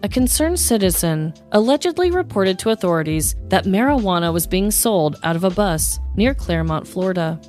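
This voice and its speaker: A woman's voice: medium pitch, lively intonation, normal speed, few pauses, a little mellow, faint music behind. An American woman narrating a scripted comedic true-crime story as a podcast host.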